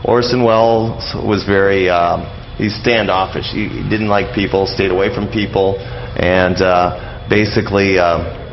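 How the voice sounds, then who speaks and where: Halting man on dull, noisy lo-fi audio. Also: sits low, steady tone. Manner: halting, rambling, repeats words. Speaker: radio show host as interview guest. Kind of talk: casual interview talk. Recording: dull lo-fi audio, clear background noise.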